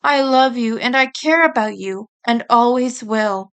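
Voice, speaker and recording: A woman voicing a caring female rabbit's farewell letter in an audio story, earnest, gentle and apologetic. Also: a little high, a little slow, clear, resonant tone. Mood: tender, earnest.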